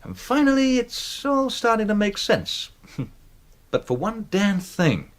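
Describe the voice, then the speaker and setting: A man playing a blunt, dogged amateur detective in a radio mystery, talking in an emphatic, halting and incredulous manner. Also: sits high, sing-song, heavily acted. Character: blunt, dogged man puzzling out murder clues. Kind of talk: radio mystery drama acting.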